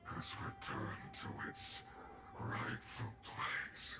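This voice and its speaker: A man voicing a cold, menacing dark reaver in a video game, whispering halting, cryptic proclamations. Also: over loud music. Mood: ominous.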